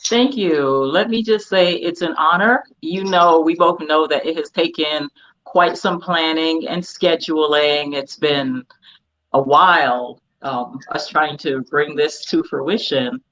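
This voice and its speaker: A woman in her fifties from Barbados talks reflectively as an interview guest, measured and searching for her words. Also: deep voice, fairly bright tone, highs cut off. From Barbados, moved to the United States at ten. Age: 50s. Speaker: guest priestess. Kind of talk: casual reflective interview talk.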